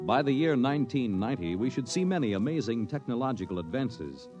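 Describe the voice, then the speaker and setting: A man announcing and narrating a 1950s radio series in a measured, portentous, dramatic scripted style. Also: medium pitch, expressive. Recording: dull 1950s radio recording. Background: music.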